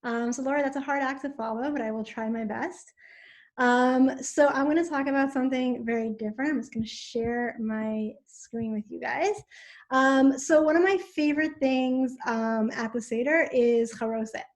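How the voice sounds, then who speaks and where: A woman's voice: high voice, normal speed. Woman teacher, talking casually. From Israel. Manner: informal, explanatory, many um fillers. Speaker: middle school teacher. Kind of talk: presentation on a video call. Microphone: video call.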